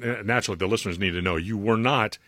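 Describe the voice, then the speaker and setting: A man's voice: fairly low pitch, racing, over a noisy crowd. A man hosting a radio show, interviewing in a casual style. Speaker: radio host.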